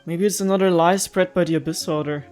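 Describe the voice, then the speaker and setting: A woman's voice: on the low side, steady tone, quick pace, darkish tone, faint music behind. A young woman giving casual, rambling commentary while she plays a game, with giggly asides to viewers. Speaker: gaming streamer. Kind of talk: casual let's-play commentary.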